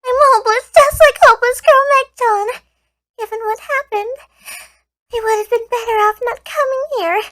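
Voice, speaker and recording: A woman's voice: extremely high pitch, normal speed, a bit bright. A woman acting a sweet, self-blaming girl in an amateur anime-style audio drama. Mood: dejected.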